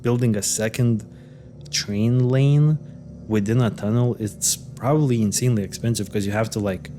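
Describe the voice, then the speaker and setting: A man's voice: lowish voice, normal speed, mellow, soft background music. A man co-hosting a podcast, recounting a case in loose, hesitant casual chat full of fillers and restarts. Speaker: podcast co-host. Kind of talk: casual conversational storytelling.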